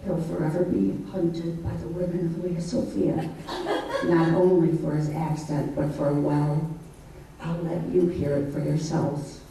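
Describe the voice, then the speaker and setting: Presenter hyping up the next speaker, mellow dark tone, super echoey hall, heard from far back. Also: medium pitch, level tone, a little slow, vocal fry, a little hiss or hum. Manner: playful, teasing build-up. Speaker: event presenter introducing a speaker. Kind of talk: stage introduction. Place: large event hall.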